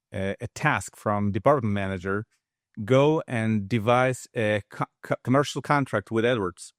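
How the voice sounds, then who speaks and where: Low, smooth-voiced man in his fifties. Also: no room sound, studio quality. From Russia. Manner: measured, halting with frequent restarts. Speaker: guest, engineering expert. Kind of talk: casual interview talk.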